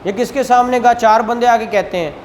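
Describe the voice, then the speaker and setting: A man's voice: extremely high pitch, brisk, a little hiss or hum. A man with a Pakistani accent teaching law, reading statutes aloud phrase by phrase and explaining them conversationally with rhetorical questions.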